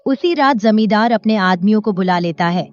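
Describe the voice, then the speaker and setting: A woman's voice: medium pitch, normal speed, a little mellow, lo-fi sound. A woman narrating a scripted moral story as its storyteller.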